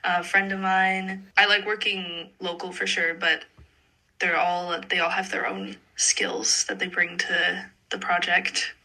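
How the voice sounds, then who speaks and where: A young woman from Montreal speaks in a strikingly bright voice. She talks casually as an interview guest, in loose, chatty phrases full of "like". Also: fairly low pitch, unhurried, rough recording. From Montreal, Canada. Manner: chatty, informal, sprinkled with "like". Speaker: singer-songwriter interview guest.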